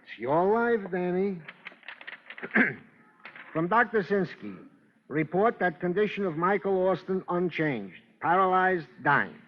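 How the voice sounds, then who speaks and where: A man's voice: high voice, animated, takes it slowly, pauses often, a little hiss or hum. A man playing a fussy, long-winded police sergeant in an old radio crime drama, with stilted, formal report phrasing. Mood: dry, dutiful. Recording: dull vintage radio recording.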